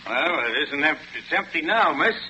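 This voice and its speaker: A male actor playing a plain-spoken, sceptical small-town police chief in a 1940s radio drama, with a folksy, halting, matter-of-fact manner. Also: fairly high pitch, expressive, pushed and bright. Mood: stern, sceptical. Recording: muffled, noisy 1940s radio recording.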